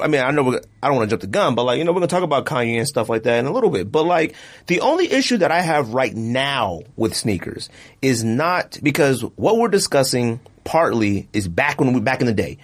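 A man with a mid-range voice hosts a casual podcast. His intonation swings widely as he talks in slangy, emphatic bursts, often repeating a word to drive it home. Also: mid-range voice. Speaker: podcast host.

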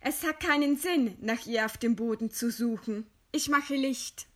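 A woman playing a composed, resigned writer in a radio play, speaking calmly and with formal courtesy. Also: a little high, a little slow. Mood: calm, commanding. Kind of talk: read radio play dialogue.

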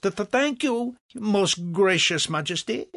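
A man's voice: sits high, lively intonation, measured pace, gravelly, fairly bright tone, no room sound, studio quality, voice pushed up, full character voice. A man doing a stammering, fawning courtier. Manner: stammering, obsequious. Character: fawning, nervous male court official.

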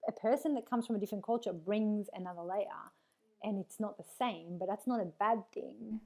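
A woman's voice: medium pitch, a little fast, warm tone. Earnest woman podcast host, non-native accent. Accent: non-native English accent. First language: not English. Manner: earnest, emphatic, opinionated. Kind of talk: casual conversational interview.

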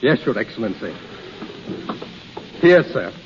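An actor playing a deferential, obedient manservant with formal manners in 1930s radio drama style. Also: male voice, mid-range voice, very animated, a little slow. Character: deferential, dutiful manservant to a duke. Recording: muffled, noisy 1930s radio, long reverb.

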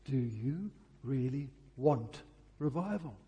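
Man, revival preacher, very husky, earnest, deliberate, repetitive emphasis, echoing hall. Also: mid-range voice, animated, mellow. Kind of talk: church revival sermon. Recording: husky, very reverberant church hall sound.

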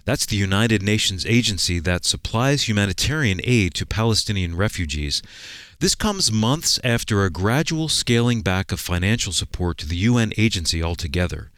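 A man co-hosting a radio program, reading scripted introductions and news in a polished, measured announcer style. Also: lowish voice, expressive, a bit bright, smooth, no room sound, studio quality.

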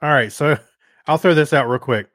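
A man's voice: fairly high pitch, normal speed, a bit bright, no echo, studio quality. A man co-hosting a podcast, chatting in an informal, rambling way. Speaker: podcast co-host. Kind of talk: casual chat.

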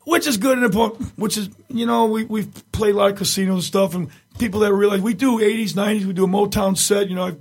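A raspy-voiced middle-aged man, a tribute singer, chatting casually as an interview guest and telling rambling stories with many restarts. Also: sits high, steady tone, fast talker, few pauses. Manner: rambling storyteller, many restarts, frequent chuckles.

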